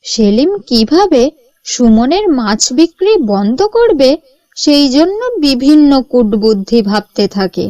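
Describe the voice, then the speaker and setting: Female narrator for a cartoon tale, unhurried and swooping in pitch, measured expressive delivery, dry sound. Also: no echo. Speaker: storyteller. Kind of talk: scripted story narration for a cartoon.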